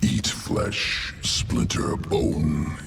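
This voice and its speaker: Abyss-deep, gravel-throated alien flesh-weaver. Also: male voice, lively intonation, extremely slow, a bit bright, distant mic, a little hiss or hum, exaggerated voice. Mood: absorbed, clinical. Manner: clipped fragments, articles dropped. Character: monstrous gene-weaving alien creature, clinically obsessed with flesh. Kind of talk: video game character acting.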